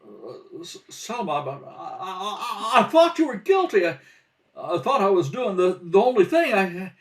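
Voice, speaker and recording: Man acting a nervous suspect, high-pitched, flustered. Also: sing-song, a little slow, a bit bright, exaggerated voice. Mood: desperate. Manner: stammering, hesitant, flustered. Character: nervous, lovelorn man under police questioning. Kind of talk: old-time radio drama acting. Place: home kitchen table. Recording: distant home rehearsal recording.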